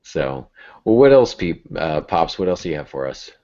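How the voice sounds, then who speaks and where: A man's voice: low voice, sing-song, normal speed, mellow. A man hosting a podcast, talking casually and reflectively in conversation. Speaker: podcast host. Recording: dull, lo-fi podcast audio.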